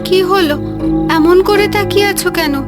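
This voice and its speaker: A woman's voice: extremely high pitch, moderate pace, loud music behind the voice. A woman playing a frightened, pleading young woman in an audio horror story. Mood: subdued. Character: frightened young woman, secretly a wolf mummy in disguise.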